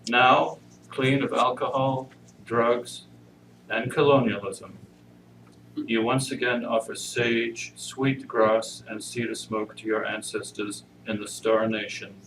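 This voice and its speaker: Male reader for poetry, unhurried and grave, picked up from a distance in a reverberant church room. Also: mid-range voice, steady tone. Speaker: congregation member reading inmates' poetry. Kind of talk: introduction and poetry reading. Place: church service, indoor room. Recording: roomy, noisy pickup far from mic.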